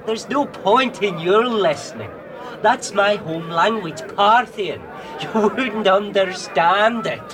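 A man's voice: sits high, slow. An actor playing a dismissive, scoffing man from Parthia in a festival crowd, in a radio drama.

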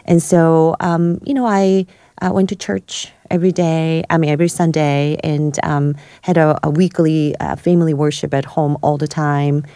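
A woman from South Korea, a guest in a studio interview, telling her life story with many pauses and fillers. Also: sits low, level tone, dark tone, clear, resonant tone, no room sound. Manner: hesitant, many fillers and restarts. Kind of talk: podcast interview, personal story.

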